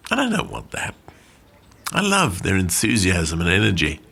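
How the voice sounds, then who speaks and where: An actor in a radio play monologue as an old, plainspoken war veteran and glider pilot, reminiscing conversationally with dry understatement. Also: male voice, low-pitched, wildly swooping pitch, moderate pace, vocal fry, bright, forceful tone, breathy edge, exaggerated voice. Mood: sardonic. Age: older.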